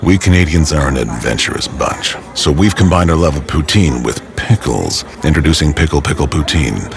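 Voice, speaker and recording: A man's voice: extremely low pitch, animated, normal speed, vocal fry. A man reading a scripted commercial voice-over. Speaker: advertisement voice-over. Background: music.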